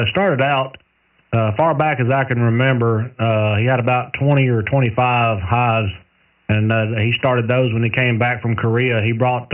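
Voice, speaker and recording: A man with a Southern US accent chatting casually over two-way radio, in a folksy, unhurried storytelling way. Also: mid-range voice. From southern United States. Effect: two-way radio transmission. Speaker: amateur radio operator, radio technician. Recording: narrow tinny single-sideband CB radio, distant.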